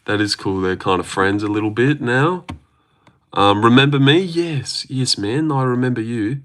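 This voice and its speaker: A man's voice: medium pitch, normal speed, a bit bright. An Australian man hosting a casual livestream, answering viewer comments in a rambling, joking way with lots of fillers and restarts. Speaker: livestream host.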